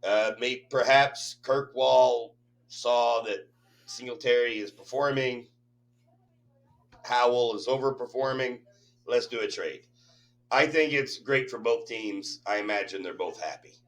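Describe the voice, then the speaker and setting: Guy on a fantasy football podcast, slow easygoing talk, pauses to think. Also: medium pitch, fairly bright tone. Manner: measured, thinking aloud with pauses. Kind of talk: casual chat.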